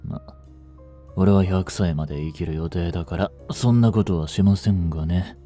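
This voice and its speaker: A man playing a blunt, languid young delinquent and protective childhood friend, drawling gruffly and teasingly in an intimate audio drama. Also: low-pitched, moderate pace, dark tone, soft background music, close to an everyday voice. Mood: teasing, sullen.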